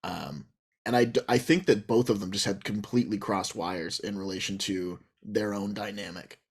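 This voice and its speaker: A man's voice: lowish voice, steady tone, moderate pace, creaky, slightly rough. A man co-hosting a recap show, giving casual, conversational commentary.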